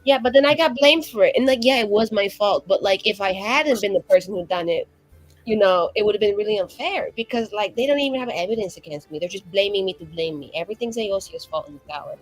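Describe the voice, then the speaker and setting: A woman's voice: medium pitch, expressive, quick pace, soft background music, low-quality recording. A woman playing a tabletop game, rambling through in-character banter with profanity and giggles. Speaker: player voicing a mage character.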